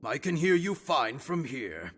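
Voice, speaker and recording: An actor voicing a sly, glib broker for an assassins' guild in a video game, teasing and theatrical. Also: male voice, medium pitch, sing-song, measured pace, a bit bright, husky, heavily acted. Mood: mocking, commanding. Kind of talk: dramatic video game voice acting.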